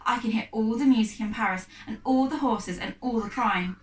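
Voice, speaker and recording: A woman's voice: a little high, normal speed, natural voice. A woman voicing a blunt, fearless, streetwise boy who is proud and dismissive, in a storybook reading. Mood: matter-of-fact boasting. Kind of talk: storybook character dialogue. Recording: echoey room, distant mic.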